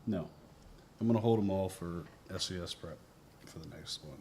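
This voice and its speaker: A man with a deep, low voice hosts a game stream in a relaxed, joking way. There is a faint hum in the background. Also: moderate pace. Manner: laid-back, joking, encouraging. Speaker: streamer host. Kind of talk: casual stream commentary.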